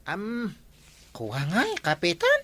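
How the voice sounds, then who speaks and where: An actor playing an excitable, obliging tricycle driver in an Ilocano village comedy drama. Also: male voice, high voice, takes it slowly, heavily acted. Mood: surprised, eager.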